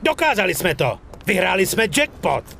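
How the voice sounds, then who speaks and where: High, excitable gravelly gangster. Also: male voice, a crowd in the background, exaggerated voice. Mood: elated. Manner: brash, slangy, exclaiming. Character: excitable, foul-mouthed, cocky male gangster sidekick. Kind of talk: dubbed video game acting.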